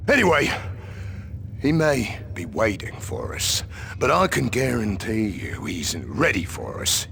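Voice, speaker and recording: An actor playing a gruff, hot-tempered veteran sergeant major in a video game cutscene, blunt and bellowing. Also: male voice, on the low side, big pitch swings, a little slow, a bit bright, slight background noise, exaggerated voice. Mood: wry. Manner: bellowing, blunt, then wry. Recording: game cutscene with music behind.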